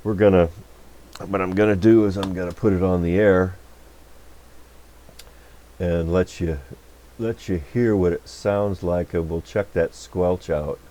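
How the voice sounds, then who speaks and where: A man giving a casual, unscripted technical demonstration at a workbench, talking in a folksy, halting, rambling way. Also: deep voice, steady tone, mellow, slight background noise. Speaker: electronics repair technician and video host. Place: radio repair workbench.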